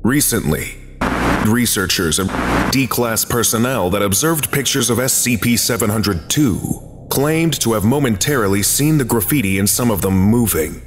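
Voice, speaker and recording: A man narrating a scripted archive briefing in a formal, measured, clinical manner. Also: on the low side, expressive, a little hiss or hum. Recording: long reverb, music behind. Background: music.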